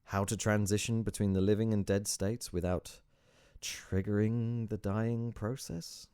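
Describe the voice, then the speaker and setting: A man's voice: lowish voice, fairly even intonation, unhurried, warm tone, clean tone, dry recording, clean studio sound, voice pushed up. A man playing a sceptical young male investigator in a story, with a wry, questioning manner. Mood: sceptical probing.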